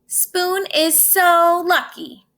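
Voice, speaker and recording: A woman's voice: very high-pitched, fairly even intonation, drawn-out words, pushed and bright, voice pushed up, full character voice. A woman voicing envious, admiring talking cutlery in a children's storybook, earnest and emphatic. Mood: envious admiration. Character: envious, admiring cutlery friends: knife, fork, chopsticks. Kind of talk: children's storybook read-aloud.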